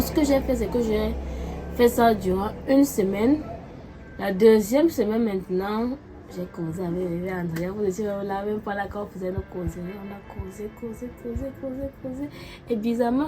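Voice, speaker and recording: A woman's voice: mid-range voice, rough recording. A woman, a mother with a head cold, chatting casually to her family vlog viewers. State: congested with a cold and cough. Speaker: vlogger mother.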